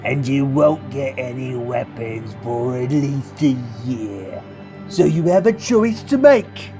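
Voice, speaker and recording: A man's voice: mid-range voice, pitched above the performer's own voice. A man voicing a cruel, darkly armored noble in an audio drama, with a pompous, mock-gracious and menacing delivery. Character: darkly armored noble, sneering, bellowing, cruel male authority. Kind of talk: scripted audio drama acting.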